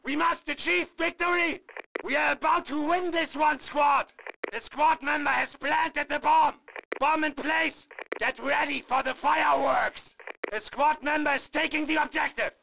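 An actor playing an urgent army squad leader, barking commanding callouts for a war game. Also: male voice, very high voice, steady tone, measured pace, creaky, pushed and bright, a little hiss or hum, heavily acted, poor audio. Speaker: game announcer.

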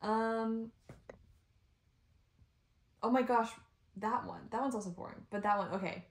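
A young American woman telling stories in casual, halting chat, informal and full of "like". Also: a little high. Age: young adult. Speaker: guest friend on a vlog. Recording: roomy indoor echo.

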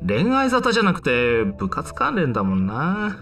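A man acts out a high school boy in a voice drama, casual and sceptical, quick to exclaim. His high voice swings widely in pitch, heard slightly away from the mic with music behind. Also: huge pitch swings, fairly bright tone, distant mic, full character voice. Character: flustered, flippant male high school student. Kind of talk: amateur voice drama acting.

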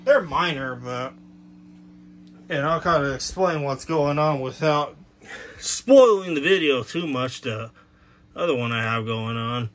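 A man giving a casual hands-on demonstration as a hobbyist, explaining in a halting way with self-corrections. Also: medium pitch, fairly bright tone. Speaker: hobbyist presenter. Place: cluttered bedroom, indoors. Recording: clear background noise, room ambience.

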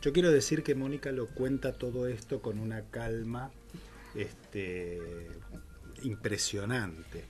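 An older man, a guest in a radio studio who speaks enthusiastically and fills pauses with "este". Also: fairly low pitch, a little mellow. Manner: enthusiastic, many "este" fillers. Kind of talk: casual radio interview. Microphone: studio mic with headphones.

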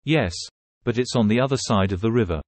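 Guy doing a deep stern conductor, flat and firm. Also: a little fast, dry recording, lightly acted. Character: stern male train conductor, firm and matter-of-fact.

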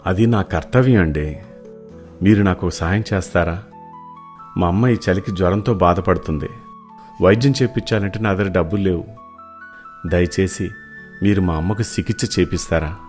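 A man playing a poor, devoted young village son in an animated moral story, earnest and pleading. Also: sits low, normal speed, long pauses, soft background music, natural voice. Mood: humbly pleading.

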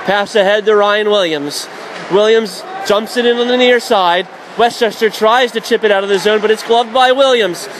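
Fast-calling play-by-play man, noisy arena. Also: high voice. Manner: rapid, shouting up on goals. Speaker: radio play-by-play announcer. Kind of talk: hockey play-by-play commentary.

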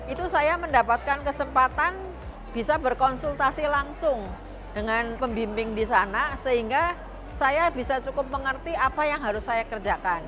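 A woman giving an interview testimonial as a small business owner. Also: fairly high pitch.

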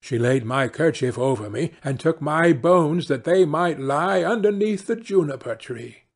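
A man voicing a proud magical bird in a fairy tale, chanting in a sing-song way with drawn-out calls. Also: fairly high pitch, lively intonation. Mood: plaintive, proud. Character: proud, magical singing bird, bargaining and bright.

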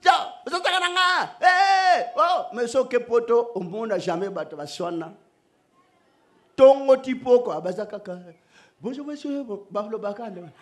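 A middle-aged man preaching a sermon into a stand microphone on an outdoor church stage, animated and joking, swinging between shouts and soft asides. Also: very high-pitched, a little slow.

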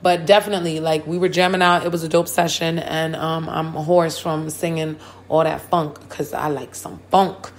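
A hoarse woman hosting a hip hop and politics podcast, talking casually and full of slang and hype. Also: low-pitched, level tone, normal speed, slight background noise. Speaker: podcast host. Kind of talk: casual chat.

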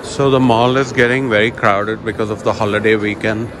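A man with a lowish voice narrates a casual walking tour of a large indoor shopping mall. He talks in a relaxed, conversational way, as if guiding friends. The phone-camera audio carries a clear wash of mall ambience behind him. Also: fairly quick, noisy background. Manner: relaxed, chatty tour-guide commentary. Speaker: vlogger. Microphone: handheld phone camera.

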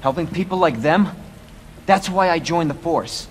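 An actor playing an earnest, idealistic young police officer in a video game cutscene, speaking with determination. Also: male voice, a little high, moderate pace, slight background noise, close to an everyday voice. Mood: frustrated, resolute.

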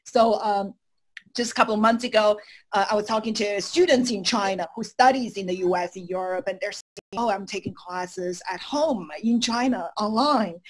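A woman's voice: medium pitch, moderate pace, poor audio. A woman hosting and interviewing in a conversational style on an indoor video call. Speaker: interviewer, host.

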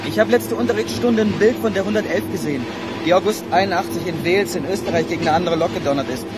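An actor playing an eager, excitable young male trainee on a train in a radio drama. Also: high voice, fast talker, lightly acted. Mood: eager, excited. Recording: loud vehicle noise behind.

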